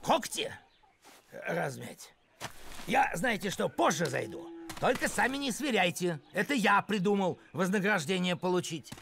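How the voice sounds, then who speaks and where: A man voicing a fussy, cowardly village postman in a cartoon, with an excitable, officious manner and creaky voice. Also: high-pitched, unhurried, a little hiss or hum, exaggerated voice. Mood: sly, probing. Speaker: voice actor. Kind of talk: animated cartoon acting.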